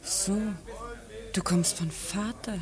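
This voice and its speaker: A woman's voice: mid-range voice, steady tone, takes it slowly, a crowd in the background, close to an everyday voice. An actress in a German radio play voicing a level-headed woman who questions an old friend in a matter-of-fact way. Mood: concerned, probing.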